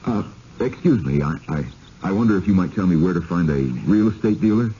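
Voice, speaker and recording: An actor playing a wry middle-aged American professor, an easygoing city husband, in a 1970s radio drama. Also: male voice, low voice, expressive, fairly quick, mellow. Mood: polite. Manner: wry, conversational. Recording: dull old radio broadcast, background noise.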